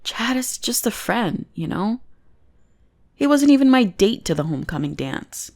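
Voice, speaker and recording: Woman acting a creaky, teasing goth bully girl. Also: fairly high pitch, big pitch swings, unhurried, darkish tone, heavily acted. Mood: grudgingly affectionate. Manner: mocking, drawling, intimate teasing. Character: teasing goth bully girl, secretly smitten, bossy and exasperated. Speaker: voice actor in audio roleplay. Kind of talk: ASMR roleplay acting.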